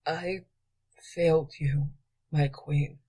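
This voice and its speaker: Woman doing a wounded young man thief, exhausted, whispery, faint, unhurried, low. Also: fairly even intonation, slightly rough, heavily acted. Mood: weary whisper. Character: wounded young male thief, weary and remorseful.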